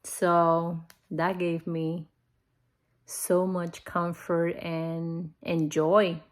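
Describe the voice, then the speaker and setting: A woman's voice: on the low side, flat delivery, very slow. A middle-aged woman with a non-native English accent, talking casually and earnestly to viewers about her faith in a personal vlog. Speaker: host of a Bible-reading vlog.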